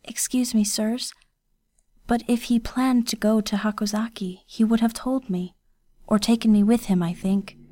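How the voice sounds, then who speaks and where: A woman voicing a timid young servant girl who speaks softly, politely and hesitantly. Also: fairly high pitch, steady tone, measured pace, pitched above the performer's own voice.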